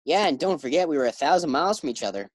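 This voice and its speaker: Casting: a woman doing an eager, bumbling young husband for a 1940s radio sitcom, very low, lively delivery, dry close sound. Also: very fast, no room sound. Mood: nervous. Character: young husband George, eager bank clerk.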